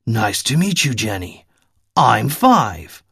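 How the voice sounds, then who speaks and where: A man voicing an adult man who has lost his memory, speaking calmly and reassuringly in a story reading. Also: medium pitch, takes it slowly, creaky, breathy edge, no room sound, clean studio sound, heavily acted. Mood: gentle.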